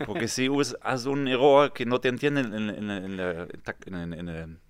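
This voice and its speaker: A man's voice: medium pitch, fairly even intonation, moderate pace, clean tone, no room sound. A German man in his thirties with a German accent, telling his story as a podcast guest in a small recording booth, sometimes searching for words and repeating himself. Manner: earnest storytelling, occasional word searches and repeats. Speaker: guest, real estate developer. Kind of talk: podcast interview.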